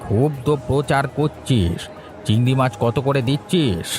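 A man's voice: mid-range voice, lively intonation, moderate pace, a little mellow, some chatter behind, close to an everyday voice. A man playing a concerned, principled older village uncle in a Bengali cartoon, speaking in an earnest, rustic, familiar way. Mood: scolding. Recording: music behind the voice.

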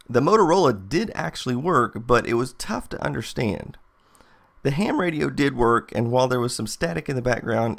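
Product-test narrator: American man, matter-of-fact, lively pitch movement, slightly husky voice. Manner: plain, methodical, matter-of-fact. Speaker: product reviewer, channel host.

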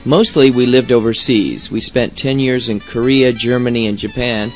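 A man's voice: medium pitch, fairly even intonation, moderate pace, faint music behind, lightly acted. A man playing a friendly young male university student in a scripted, conversational English lesson dialogue.

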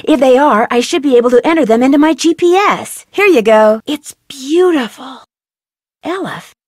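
A curious young girl sleuth, high voice, lively swoops, dry studio sound. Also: normal speed, dry recording. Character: curious young female amateur detective. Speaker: game protagonist. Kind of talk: recorded game voice lines.

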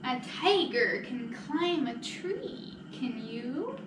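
Woman reading as a skeptical bossy storybook raccoon, drawn-out. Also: high voice, big pitch swings, pitched below the performer's own voice, heavily acted. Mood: doubting. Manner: doubting, emphatic. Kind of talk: picture-book read-aloud. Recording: echoey room, distant mic, noisy.

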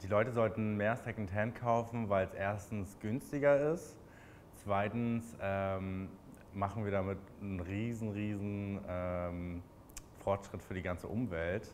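Relaxed Berlin-accented young man, lowish voice. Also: unhurried, soft background music. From Berlin, Germany. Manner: relaxed, chatty, many fillers, laughs easily. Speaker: interviewee. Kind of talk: casual interview answers.

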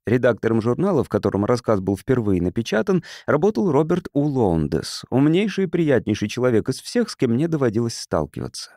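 Man narrating an audiobook, lively intonation. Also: medium pitch, fairly quick, few pauses, dry recording, clean studio sound. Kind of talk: scripted literary narration, reading a science fiction story.

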